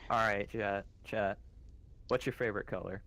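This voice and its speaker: A man's voice: lowish voice, fairly even intonation, moderate pace, fairly bright tone. Wry male co-caster filling dead air. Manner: dry joking, fills silences with chatter. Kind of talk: casual live-stream commentary.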